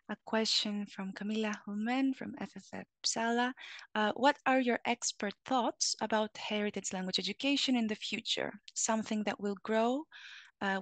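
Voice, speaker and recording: A woman's voice: medium pitch, no room sound. A woman moderating an online panel Q&A, reading out audience questions with frequent fillers. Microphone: video call.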